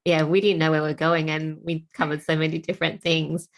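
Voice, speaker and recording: A woman in her late thirties hosting a podcast, soft-spoken and affirming, with hesitant fillers. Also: low-pitched, little pitch movement, fairly quick. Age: 30s. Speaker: podcast host. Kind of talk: casual interview chat.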